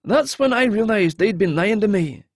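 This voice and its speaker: A man's voice: high voice, lively intonation, normal speed, dry recording, clean studio sound, voice pushed up. A man voicing a frightened, remorseful male deserter in an audiobook, earnest and pleading.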